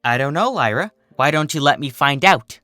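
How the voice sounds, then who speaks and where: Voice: mid-range voice, animated, moderate pace, bright, forceful tone, no echo. A narrator voicing a sensible, easily irritated female earth pony with a dry, matter-of-fact manner.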